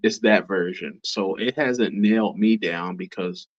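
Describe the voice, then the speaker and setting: A man co-hosting a podcast, talking casually with a relaxed swagger. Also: fairly low pitch, a little slow. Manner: laid-back, relaxed swagger. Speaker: podcast co-host. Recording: dull lo-fi sound.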